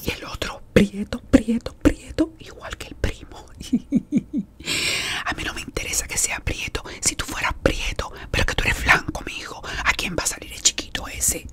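A woman's voice: on the high side, expressive, fairly quick, few pauses. A woman whispering in an ASMR roleplay as a beautician, gossiping while she treats a client. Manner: gossipy whispering, talking to an unseen caller and a client.

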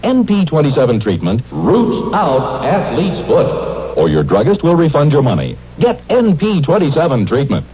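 A man's voice: a little high, huge pitch swings, normal speed. A man announcing a commercial in the style of 1950s radio. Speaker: advertisement announcer. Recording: tinny radio recording, heavy echo.

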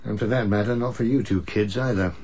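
A man's voice: on the low side, steady tone, brisk, darkish tone, husky. An actor playing a blunt, weary older English husband and father in a radio drama, with a wry, old-fashioned turn of phrase. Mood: weary, fond. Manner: wry, swearing, old-fashioned upper-middle-class turns of phrase.

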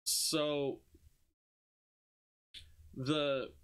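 A man's voice: fairly high pitch, flat delivery, very slow, a bit bright, clear, resonant tone. A man lecturing informally on slides, explaining conversationally and posing questions that he then answers. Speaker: university music lecturer. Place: indoor room, screen-recorded lecture with webcam.

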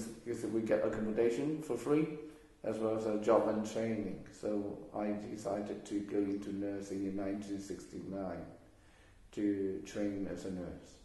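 A man's voice: on the low side, steady tone, moderate pace, warm tone. A man, answering oral history questions in a hesitant way, with many fillers and restarts. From Hong Kong. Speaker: interviewee. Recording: very echoey room.

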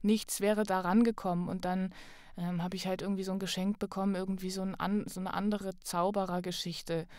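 A woman's voice: mid-range voice, flat delivery, brisk, a little mellow, no echo. Young woman chatting, dry close sound. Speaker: podcast guest, actress. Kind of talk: casual conversational interview.

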